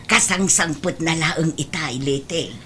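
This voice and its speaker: A woman's voice: low voice, expressive, takes it slowly, pushed and bright, slight background noise, full character voice. A woman playing a frank, questioning friend who sells goods and chases debts, speaking with an Ilocano accent in a radio-style comedy drama. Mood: businesslike.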